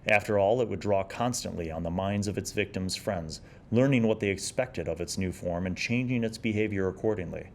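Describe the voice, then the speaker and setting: A man's voice: deep voice, a little fast, hardly any pauses, a little hiss or hum. A man in his forties hosting a casual review, talking conversationally and enthusiastically and sometimes reading passages aloud. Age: 40s. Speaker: host of a gaming review series.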